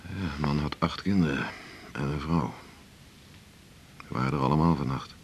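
An actor playing a weary, brooding hospital doctor in a radio drama, with a sardonic, worn-out manner. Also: male voice, extremely low pitch, moderate pace, husky, heavily acted.

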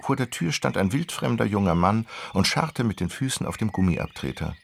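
Narrator for radio drama: man with a very low, gravelly voice, animated literary storytelling, slight background noise. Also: moderate pace.

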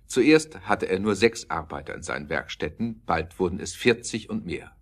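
Male narrator, very deep register, scripted storytelling for audio drama. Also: a bit bright.

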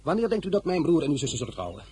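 A brisk young male general in a radio play, bold and sure of himself. His pitch swings widely as he presses his point, and faint noise sits behind him. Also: very animated, darkish tone. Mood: teasing, insistent. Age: young adult. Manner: assertive, blunt, persuasive. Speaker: actor in a radio drama.

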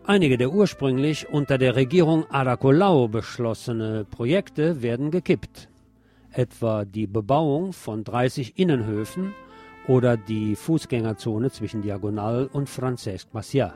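A man's voice: medium pitch, expressive, unhurried, warm tone. A man presenting scripted radio news as a narrator, reading reports in an orderly way. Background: music under the voice.

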